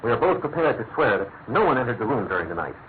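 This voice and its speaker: Quick, animated British statesman played by a man. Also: mid-range voice, natural voice. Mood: anxious, earnest. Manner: rapid, earnest, formal, emphatic. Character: earnest, anxious, elegant middle-aged government minister. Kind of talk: classic radio drama acting. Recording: thin narrow-band old radio recording, faint noise.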